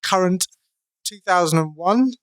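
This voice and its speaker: Male podcast host, a touch high, easygoing and rough around the edges, dry close sound. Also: measured pace, a bit bright, dry recording. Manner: loose, stumbling intro, swears casually. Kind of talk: casual podcast chat.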